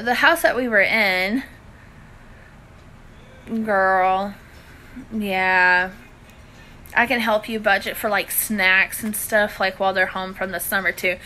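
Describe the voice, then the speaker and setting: Bright-voiced chatty Southern US woman. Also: medium pitch, slow, pauses often, slight background noise. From Louisiana, southern US. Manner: chatty, informal, addressing viewers by endearments. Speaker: cooking and budgeting live-stream host. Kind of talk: casual chat to live viewers. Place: indoors in a living room. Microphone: phone at arm's length.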